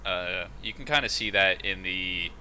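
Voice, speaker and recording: A man's voice: sits low, normal speed, bright, forceful tone, slight background noise. A man chatting on a casual fan podcast, eager and enthusiastic, with lots of fillers. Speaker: podcast co-host.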